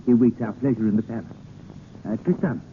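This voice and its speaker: A man's voice: fairly low pitch, a little fast, phone-quality sound, close to an everyday voice. A man acting a sly, regal king with pompous, hesitant phrasing in a theatrical old-time radio drama. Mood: dry, imperious. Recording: thin, noisy old radio broadcast.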